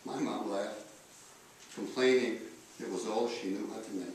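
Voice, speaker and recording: A man reading a eulogy aloud in a solemn style, with measured, deliberate phrasing and pauses. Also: fairly low pitch, fairly even intonation, darkish tone. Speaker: eulogist reading letters at a memorial. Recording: echoey room, distant mic, faint noise.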